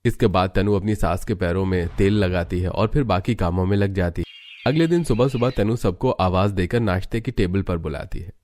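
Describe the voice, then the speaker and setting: A man with a low-pitched voice narrates a domestic cartoon story from a script, with music playing behind him. The delivery is that of a storyteller setting each scene. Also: moderate pace, darkish tone.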